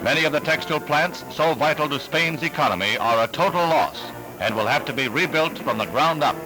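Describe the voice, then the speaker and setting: A man's voice: on the high side, animated, brisk, rough recording. An American man reading scripted 1960s newsreel narration in an emphatic, formal announcer delivery, on a vintage soundtrack. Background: music under the voice.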